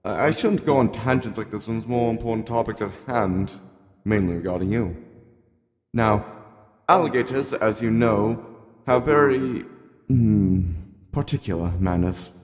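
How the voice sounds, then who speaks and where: A man voicing a genteel, rambling elderly animatronic hippo from a game, politely ominous and gently admonishing in a slow, courtly monologue. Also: lowish voice, lightly acted. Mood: wistful, rambling. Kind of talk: scripted character monologue. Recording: long cavernous reverb.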